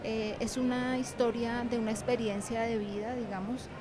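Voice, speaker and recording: High-voiced woman in noisy venue. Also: little pitch movement, normal speed. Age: middle-aged. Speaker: university animation lecturer and author, interview guest. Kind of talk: conversational interview answers. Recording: clear background noise of busy venue. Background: ambient noise of a book fair.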